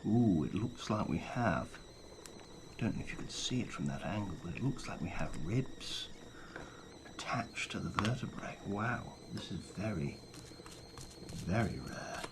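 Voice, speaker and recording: Genial male paleontologist, acted by a man, low-pitched, hushed ASMR roleplay delivery, hesitant and gentle, faint noise behind. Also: expressive. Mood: gently delighted. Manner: unhurried, hesitant, gently delighted. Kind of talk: ASMR roleplay, soft-spoken and often whispered.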